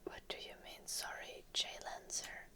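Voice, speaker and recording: Slow, whispering woman. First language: Finnish. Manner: soft whispering, chatty and casual, answers chat; bouncing while sitting on an exercise ball. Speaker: ASMR streamer. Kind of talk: whispered live-stream chat.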